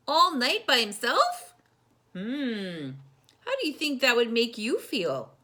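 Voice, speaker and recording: Woman, children's storytime reader, slow pace, very varied pitch, expressive, engaging young listeners directly. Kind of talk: expressive picture-book reading with questions to children.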